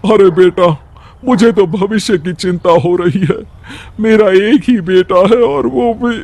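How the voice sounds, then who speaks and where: A man's voice: sits high, unhurried, creaky, darkish tone, exaggerated voice. A man voicing a worried, caring older village mother-in-law, fretful and affectionate.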